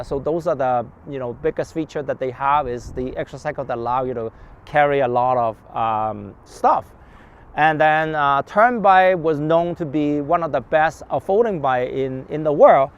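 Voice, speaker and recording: Male presenter, slightly high voice, halting informal walkthrough style, recorded outdoors with vehicles around. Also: smooth. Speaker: bike shop presenter.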